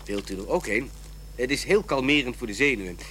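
A man's voice: medium pitch, lively intonation, brisk, bright, forceful tone, a little hiss or hum. An actor playing a blunt, proudly smoking male doctor in an audio drama, with a dry, sardonic and theatrical manner.

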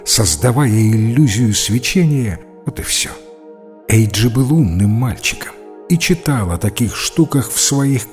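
A man's voice: mid-range voice, a little mellow. A man narrating an audiobook tale in a scripted storytelling style. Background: music.

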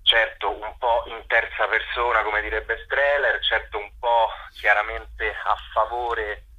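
A man giving spontaneous interview answers as a theatre director, reflective and halting, often rephrasing himself mid-sentence. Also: lowish voice, level tone, husky. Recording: muffled, lo-fi radio phone-in sound.

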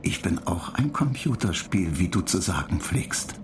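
A man playing a computer data agent in a radio play, matter-of-fact, clinical and measured, calmly pedantic and dryly superior. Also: deep voice. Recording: clear noise bed behind the voice.

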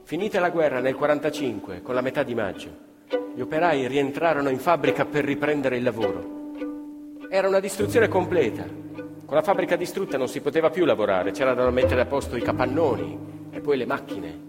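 A man's voice: animated, brisk. A man narrating on stage in a declamatory, ironic storyteller's manner, recorded live. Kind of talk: theatrical narration. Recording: live theatre recording with long reverb, violin and live strings behind. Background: live violin, piano and double bass.

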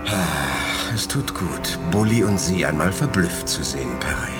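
An actor playing a composed, thoughtful telepath officer with an earnest, respectful manner in a 1980s German radio play. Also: male voice, sits low, animated, a little slow, loud music behind the voice. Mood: quietly amused.

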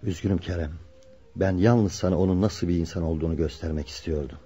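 A loyal, earnest young man in a radio play, pressing his point insistently. His voice is low, dark and husky, with faint music behind. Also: fast talker. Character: loyal, earnest young male friend, insistent truth-teller. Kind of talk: Turkish radio drama acting.

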